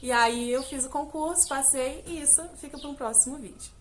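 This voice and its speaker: Young woman from Brazil, chatty vlogger, fairly high voice, faint hiss behind. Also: fairly quick. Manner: chatty, direct address with many fillers. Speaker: English teacher, vlogger. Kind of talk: casual personal vlog.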